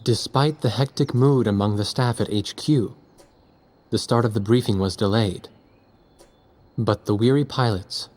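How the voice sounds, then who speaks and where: A man's voice: on the low side, darkish tone, close to an everyday voice. A man playing an earnest young war journalist in a game, narrating reflectively and asking sincere questions. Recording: music behind the voice.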